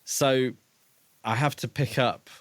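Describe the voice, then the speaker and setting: A man's voice: medium pitch, fairly even intonation, slow pace, no room sound. A man co-hosting a podcast, discussing a book casually in a questioning, mildly pedantic way. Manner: questioning, self-admittedly pedantic. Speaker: podcast co-host.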